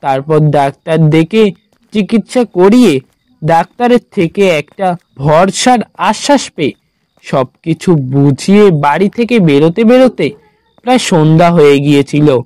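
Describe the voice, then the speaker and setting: A man narrating a scripted horror story in a measured, dramatic storytelling manner. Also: fairly high pitch, a little mellow, no echo.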